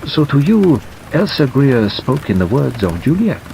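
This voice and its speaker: A man playing a polite male Belgian detective in an audiobook, speaking in a mild, cautious, measured way. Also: mid-range voice, gravelly, voice pushed up, low-quality recording. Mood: thoughtful. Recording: steady rain noise over narration.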